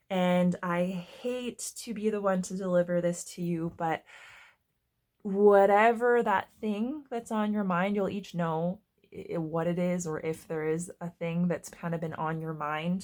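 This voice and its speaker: A woman giving a casual tarot reading to her viewers, congested and hoarse, chatty and often stopping to search for words. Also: fairly low pitch. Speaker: tarot reader.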